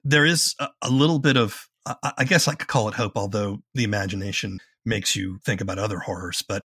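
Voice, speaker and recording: A man hosting a conversational interview podcast, asking and commenting in a measured, thoughtful way. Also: fairly low pitch, a bit bright, husky, no room sound, studio quality.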